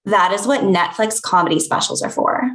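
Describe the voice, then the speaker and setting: A woman's voice: medium pitch, moderate pace, fairly bright tone, close to an everyday voice. Judgmental, dry young woman played by an actress, roomy recording, comedy table read. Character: judgmental, dry young Ivy League friend. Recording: roomy, echoey sound.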